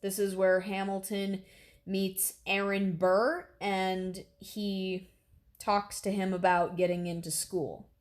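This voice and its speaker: A woman's voice: mid-range voice, little pitch movement, takes it slowly. A woman hosting a reaction video in casual chat, rambling and explaining as she comments.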